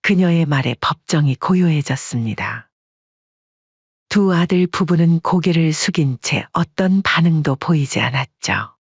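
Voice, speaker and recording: A narrator reading a story in an even, measured way as an audiobook. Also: medium pitch, slightly rough, no echo, highs cut off.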